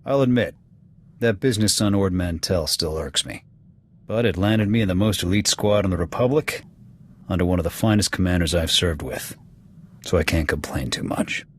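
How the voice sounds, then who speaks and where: An actor playing a gruff, proud military officer in a video game, terse and clipped in delivery. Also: male voice, low voice, moderate pace, a little hiss or hum, lightly acted. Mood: grudging respect. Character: gruff, proud male military sniper officer, loyal but hot-tempered. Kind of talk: video game dialogue acting. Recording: music behind the voice.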